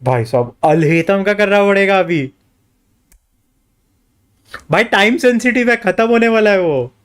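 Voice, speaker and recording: A man's voice: high voice, lively intonation, rapid-fire, clear, resonant tone. A man with an Indian accent giving casual, informal, grumbling commentary while livestreaming a game. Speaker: game streamer. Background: game music.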